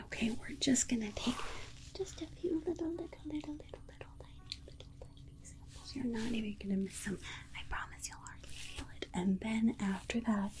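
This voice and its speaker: A woman playing a gentle alien scientist in an ASMR roleplay, soothing and coaxing in a repetitive, babying manner. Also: mid-range voice, lightly acted. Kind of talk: whispered ASMR medical roleplay.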